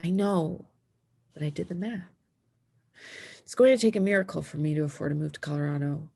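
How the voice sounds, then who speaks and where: Slightly husky teen girl snowboarder played by a woman. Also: low voice, fairly quick, mellow, exaggerated voice. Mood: earnest. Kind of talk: screenplay table read.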